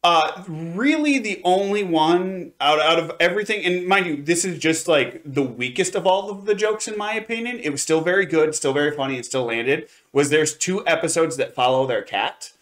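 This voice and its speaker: A man's voice: on the high side, normal speed, few pauses, a bit bright. A man co-hosting a review show, talking casually in a rambling, analytical way and qualifying his points. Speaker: co-host of an anime review show. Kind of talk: casual chat, review commentary.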